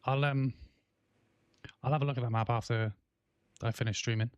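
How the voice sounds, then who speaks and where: A man in his thirties with an English accent tinged with Welsh, chatting casually on a game stream while coughing and clearing his throat now and then. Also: mid-range voice, steady tone, moderate pace, dry recording, lo-fi sound. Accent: English with a Welsh twang. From England. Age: 30s. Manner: rambling, many fillers and restarts. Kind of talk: casual livestream chat, reading chat aloud.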